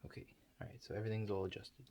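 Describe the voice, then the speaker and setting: A man performing an improvised ASMR roleplay monologue in a halting, hesitant, deadpan manner. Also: lowish voice. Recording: clear background noise.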